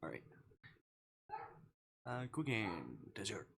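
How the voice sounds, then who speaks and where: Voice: deep voice, very animated, takes it slowly, a little hiss or hum. A game streamer chatting casually to viewers, hesitant and playful, often muttering to themselves. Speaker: livestreamer. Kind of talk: casual live game stream chat, reading on-screen dialogue aloud.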